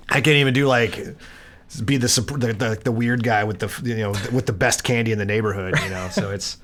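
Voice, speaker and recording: A man's voice: fairly low pitch, steady tone, brisk, fairly bright tone. An American man hosting a podcast, chatting casually in a wry, irreverent, joking way. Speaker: podcast host.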